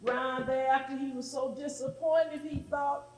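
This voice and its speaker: Echoey, slow, emphatic woman preacher. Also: sits high, steady tone. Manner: emphatic, repeats phrases for effect. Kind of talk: call-and-response church sermon. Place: large echoing room with an audience. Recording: echoey hall with congregation responding.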